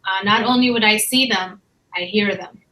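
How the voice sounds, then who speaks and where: A woman from Ethiopia, a guest sharing her life story in a relaxed, open way with many pauses and fillers. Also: medium pitch, noisy background. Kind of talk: casual personal storytelling.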